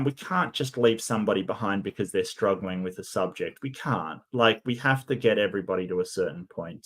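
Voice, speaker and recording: A man hosting a solo stream, talking in a casual way. His voice is croaky and tired, and he often stammers and repeats his first words. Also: on the low side, fairly bright tone. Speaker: streamer host.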